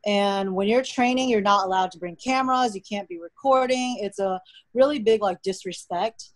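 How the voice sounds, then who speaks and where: A young American woman whose first language is Mandarin, telling stories in a relaxed, chatty way as a podcast guest. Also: mid-range voice, normal speed, no room sound. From United States. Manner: relaxed, chatty storytelling with frequent "like". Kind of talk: casual interview conversation.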